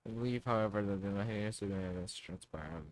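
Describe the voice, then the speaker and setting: A man's voice: fairly low pitch, fast, no room sound. A young man streaming a game, reading text aloud and making wry jokes. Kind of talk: casual livestream reaction.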